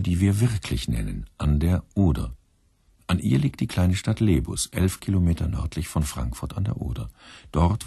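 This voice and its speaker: Very deep, husky male narrator. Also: warm tone, dry recording, clean studio sound. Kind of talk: scripted documentary narration.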